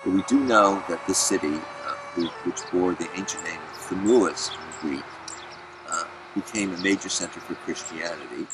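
A deep-voiced man explains an excavation at a slow, measured pace, pausing often on fillers. Clear music plays underneath his voice. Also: poor audio. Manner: measured, hesitant with many fillers. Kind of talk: documentary explanation. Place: outdoor excavation site.

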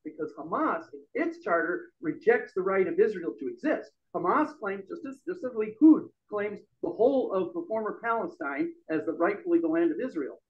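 A middle-aged man giving a loud, emphatic speech at an open-mic forum in a bar room. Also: high voice, lively intonation, moderate pace, hardly any pauses, lo-fi sound. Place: bar room with a small audience. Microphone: stand mic.

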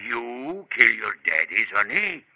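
An actor playing a sly, greedy old sea captain with rustic speech and a cackle, in a 1950s radio drama. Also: male voice, mid-range voice, big pitch swings, takes it slowly, bright, forceful tone, a little hiss or hum, heavily acted, low-quality recording. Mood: gleeful, mocking. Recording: vintage 1950s radio recording.

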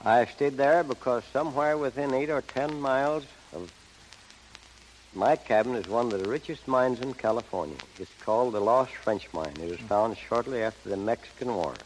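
A man's voice: mid-range voice, moderate pace. An older man speaking in a folksy, plainspoken way as a guest in a scripted 1930s radio interview. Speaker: gold prospector guest. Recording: noisy, echoey 1930s radio broadcast.

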